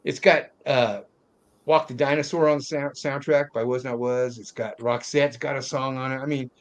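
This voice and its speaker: A man's voice: mid-range voice, steady tone, moderate pace. Older man hosting a casual film chat. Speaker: host of a film review panel. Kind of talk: casual movie discussion.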